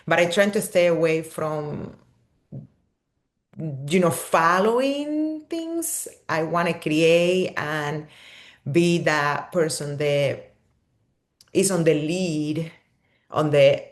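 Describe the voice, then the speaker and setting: A woman from Cuba with a Cuban Spanish accent, a podcast guest telling her story in an expressive, animated way and laughing often. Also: deep voice, slow pace, pauses often. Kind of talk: podcast interview.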